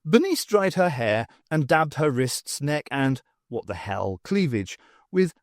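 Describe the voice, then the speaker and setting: A man reading a novel aloud as an expressive audiobook narrator. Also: a little high, slow pace, no room sound, clean studio sound.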